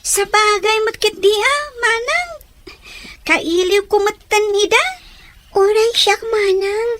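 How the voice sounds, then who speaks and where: A woman voicing a very high-voiced young daughter. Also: takes it slowly, fairly bright tone, full character voice. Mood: eager. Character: young adult daughter, eager cousin.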